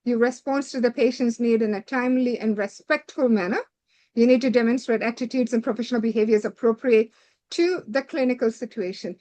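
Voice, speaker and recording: A woman's voice: a little high, steady tone, a little fast, warm tone. A woman giving a direct, instructive lecture to doctors preparing for a medical licensing exam, stringing her points together with "so".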